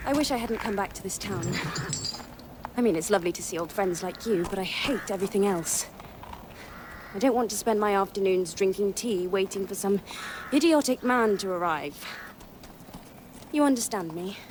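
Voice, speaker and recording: A woman acting a discontented young lady in a radio play, her voice mid-pitched and restless, amid loud outdoor ambience with clopping hooves. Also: normal speed, pauses often, noisy background, natural voice.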